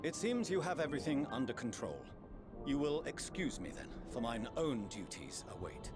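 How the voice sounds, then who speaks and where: A man's voice: medium pitch, very animated, normal speed, close to an everyday voice. A man playing a proud, middle-aged envoy in a fantasy game, formal and stern. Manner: stern, haughty, clipped formal phrasing. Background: orchestral game music.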